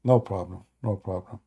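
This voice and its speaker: Gravelly, dark-voiced man, native Arabic speaker. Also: deep voice. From Middle East. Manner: sarcastic and joking, mocks the chat; broken English grammar. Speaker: live stream host. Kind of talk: casual live stream chat, answering comments.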